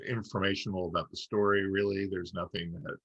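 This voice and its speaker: A man's voice: deep voice, level tone, a little fast, a bit bright, muffled recording. A man co-hosting a podcast in casual, fan-to-fan conversation. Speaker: podcast co-host.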